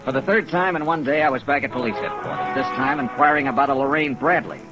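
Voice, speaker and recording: A man's voice: medium pitch, fast talker, natural voice, low-quality recording. An American man playing a wry insurance investigator in a 1950s radio serial, clipped and dry. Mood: businesslike narration. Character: brisk, wry insurance investigator narrating his case. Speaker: lead actor and narrator of a detective radio serial. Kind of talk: 1950s radio detective acting. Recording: vintage radio recording with rain and music.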